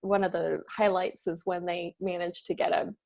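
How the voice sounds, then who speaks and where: A woman's voice: on the low side, flat delivery, normal speed, creaky, mellow. An upbeat woman hosts a podcast interview. She is friendly and laughs easily as she guides the conversation. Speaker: podcast host, career services assistant director.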